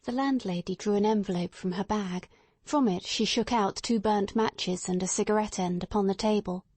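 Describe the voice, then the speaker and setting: A woman's voice: mid-range voice, moderate pace, a little mellow, husky, no room sound. Woman narrating a classic detective story. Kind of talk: scripted audiobook narration.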